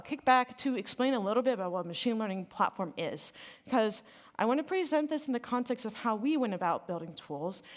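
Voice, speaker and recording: Casual American woman giving a tech talk. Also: fairly low pitch. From United States. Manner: casual, enthusiastic, filler-heavy. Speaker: conference speaker. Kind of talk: technical conference talk. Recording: reverberant hall.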